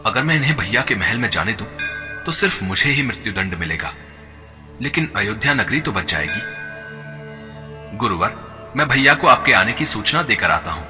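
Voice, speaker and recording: A man's voice: on the low side, very animated, normal speed, long pauses. A man voicing a loyal young prince in a devotional cartoon, talking in an earnest, respectful way. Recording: long reverb with background music.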